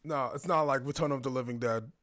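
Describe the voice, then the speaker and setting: A man's voice: mid-range voice, quick pace, a bit bright. Man musing aloud in casual commentary. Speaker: reactor and commentator. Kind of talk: casual spontaneous reaction commentary.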